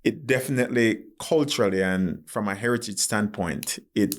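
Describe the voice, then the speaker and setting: This is a man with a fairly low voice who hosts a conversational podcast interview. He speaks in an earnest, reflective way. He keeps the talk moving with frequent short acknowledgements. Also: moderate pace. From Jamaica. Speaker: podcast host.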